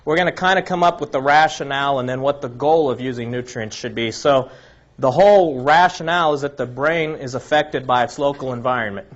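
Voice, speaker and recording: Man lecturing with a slightly raspy voice. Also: medium pitch, fairly quick, muffled recording. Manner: explanatory, lists items one by one, frequent restarts. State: slightly raspy from a recent cold. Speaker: health presenter. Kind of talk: informal lecture to a live audience.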